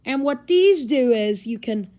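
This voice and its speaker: A woman's voice: high-pitched, lively intonation, unhurried, dark tone. A woman giving a casual hands-on gadget demonstration in a rambling, informal way, recorded in a living room. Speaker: gadget reviewer. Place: carpeted living room indoors. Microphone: handheld camera or phone, speaker off screen.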